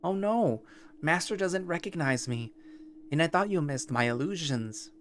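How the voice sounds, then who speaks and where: Guy putting on a high chipper furry creature, clingy and sweet, bit of hiss behind. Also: lively intonation, unhurried. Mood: eager. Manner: chipper, pleading. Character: eager devoted furry male creature, clingy.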